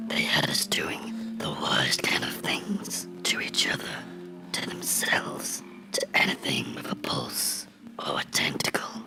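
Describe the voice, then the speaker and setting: A man voicing a bitter, menacing cartoon character in a slow, brooding horror ASMR monologue, whispering in a breathy, gravelly voice. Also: very deep voice, full character voice. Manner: slow, deliberate, brooding storytelling with dramatic pauses. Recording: whispered with music underneath.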